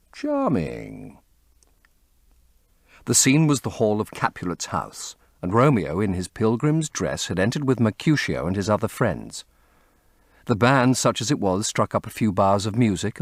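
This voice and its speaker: A man reading literary fiction as an audiobook narrator, in an expressive, measured storytelling manner. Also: on the low side, dry recording, studio quality.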